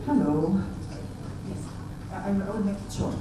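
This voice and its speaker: A woman's voice: medium pitch, a little slow. A middle-aged woman with a Syrian accent telling her personal story as a conference speaker. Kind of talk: personal story told to an audience. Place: indoor event room with tables. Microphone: handheld mic, picked up by far camera. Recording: distant, echoey room with faint noise.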